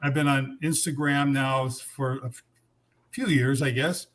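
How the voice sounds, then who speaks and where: A man in his seventies tells his story in an unhurried, reflective way. He often pauses on "uh" and "um". His pitch sits at an ordinary middle level. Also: fairly even intonation, a bit bright. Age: 70s. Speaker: guest. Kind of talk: casual chat.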